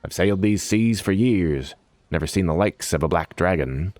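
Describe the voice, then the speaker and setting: Old sea captain acted by a man: low, hearty, plain-spoken, tired but kind, fantasy tale. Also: normal speed, darkish tone, no echo, close to an everyday voice. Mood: grateful warm.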